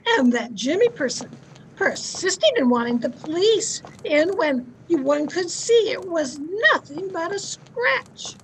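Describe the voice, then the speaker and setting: A woman's voice: very high-pitched, very animated, unhurried, slight background noise, full character voice, low-quality recording. A woman playing a domineering, haughty, easily outraged society wife in an old-time radio drama, scolding and imperious. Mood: indignant, scolding. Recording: amateur video-call recording.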